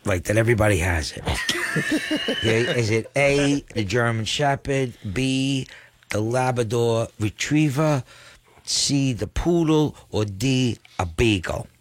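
A man's voice: medium pitch, expressive, unhurried, a little hiss or hum. A man co-hosting a radio show, reading out trivia questions loosely. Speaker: radio co-host.

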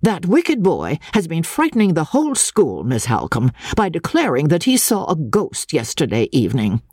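Village schoolmaster, male acted by a narrator, fussy and uneasy, formal protests, lively pitch, husky, dry storybook recording. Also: normal speed, no echo. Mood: exasperated. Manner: formal, remonstrating. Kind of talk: audiobook character dialogue.

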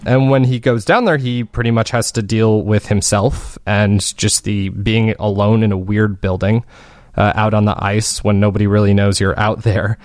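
A man's voice: on the low side, no room sound. Earnest man in a dry, close recording. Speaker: guest, fiction podcast creator. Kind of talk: conversational interview answers.

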